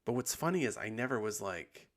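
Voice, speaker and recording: A man hosting a podcast in casual confessional chat, sounding husky and tired after bronchitis, rambling with lots of likes and ums. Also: fairly low pitch, sing-song, a little fast. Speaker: podcast host.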